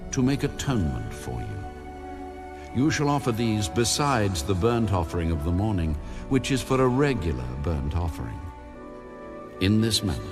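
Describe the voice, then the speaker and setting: A man narrating scripture in a measured, solemn, deliberate way, like an audiobook of the Bible. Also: lowish voice. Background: background music.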